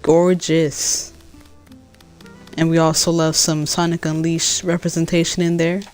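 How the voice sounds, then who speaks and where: A woman from California with a deep voice, chatting casually as she plays a game and answers her chat, rambling with many fillers and restarts. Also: flat delivery, a little slow, darkish tone, smooth, soft background music. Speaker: gaming streamer.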